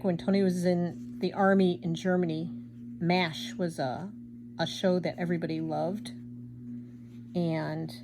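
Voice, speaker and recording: Older woman, craft vlogger, loud and rambling, lower pitch, faint room noise. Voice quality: loud, projecting voice. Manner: chatty, rambling, self-deprecating. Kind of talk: casual chat while embroidering.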